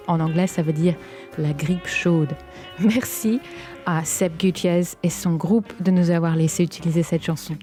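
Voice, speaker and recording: Woman reading softly over guitar. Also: fairly low pitch. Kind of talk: scripted podcast narration.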